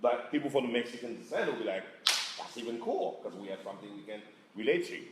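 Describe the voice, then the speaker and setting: A man from answers on a panel in a halting, animated way, his pitch swinging up and down as he hunts for words. The room is echoey around him. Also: very noisy. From Congo. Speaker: panelist, business operator. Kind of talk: panel discussion.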